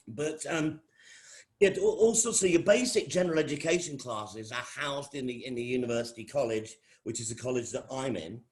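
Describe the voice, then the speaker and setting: Rambling middle-aged Englishman. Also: on the high side. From England. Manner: rambling, repeats phrases and restarts sentences. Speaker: college lecturer hosting an information session. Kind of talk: informal welcome talk to prospective students.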